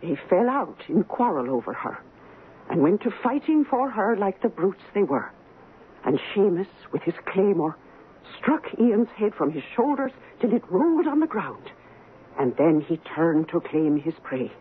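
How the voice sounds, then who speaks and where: An actress playing a stern, superstitious Highland Scottish woman in a radio drama, telling dark things in grave, archaic phrases. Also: big pitch swings, normal speed, husky, exaggerated voice. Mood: grim, dramatic. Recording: tinny, noisy 1970s radio recording.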